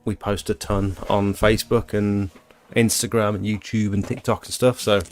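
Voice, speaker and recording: Low-pitched British man with gravelly creak. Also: little pitch movement, normal speed, slight background noise. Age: 30s. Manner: informal, chatty, rambling. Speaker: livestream host, exterior cleaning tradesman. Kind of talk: casual live Q&A chat, reading out viewer comments. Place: small home bedroom doubling as an office.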